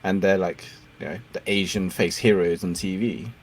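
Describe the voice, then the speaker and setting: A man's voice: deep voice, steady tone, normal speed, a little hiss or hum. A man whose first language is Cantonese, hosting a casual interview, encouraging and laughing often. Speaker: podcast host.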